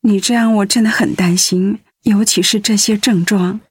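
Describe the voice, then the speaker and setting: A woman's voice: medium pitch, fairly even intonation, normal speed, slightly rough, no echo, lightly acted. A woman playing a devoted, anxious middle-aged wife in a radio drama, earnest and full of concern. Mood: worried.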